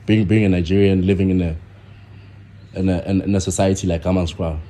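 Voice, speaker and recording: A young man from South Africa, a guest on a podcast, talking casually with halting starts and repeated words. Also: low-pitched, little pitch movement, warm tone, slight background noise. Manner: halting, repeats himself, many fillers. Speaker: guest, content creator.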